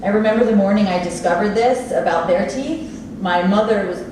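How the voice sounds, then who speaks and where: An actress in a staged play reading, playing a gentle, wistful immigrant housekeeper who speaks earnestly and haltingly. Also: female voice, mid-range voice, natural voice. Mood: fond, confiding. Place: live staged reading in an echoey theater space. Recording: distant, very echoey noisy room recording.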